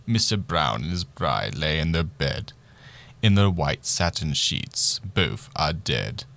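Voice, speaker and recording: A man's voice: very deep voice, very animated, slow, creaky, fairly bright tone, heavily acted. A man playing a bumbling, oblivious detective who dramatically narrates a murder mystery in a radio play. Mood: grim, theatrical.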